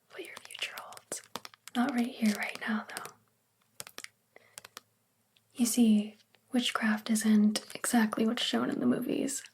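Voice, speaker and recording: A woman playing a sweet, gentle, self-doubting witch librarian in a soft-spoken, confiding ASMR roleplay with a breathy, whispery voice. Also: fairly high pitch, a little hiss or hum, lightly acted. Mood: gentle, matter-of-fact.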